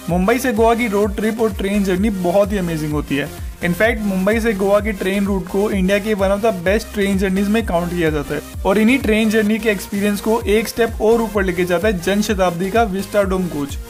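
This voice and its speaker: Upbeat high-voiced man narrating travel. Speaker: travel channel host. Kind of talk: scripted travel voice-over.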